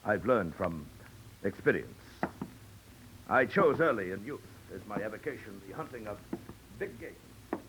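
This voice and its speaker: A noisy old radio recording carries a suave, menacing aristocratic hunter. His voice sits low, and he speaks in florid, mock-courteous periods, savoring his own pomposity like a classic 1940s radio villain. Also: expressive, a little slow, long pauses. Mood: pompous. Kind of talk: 1940s radio drama acting.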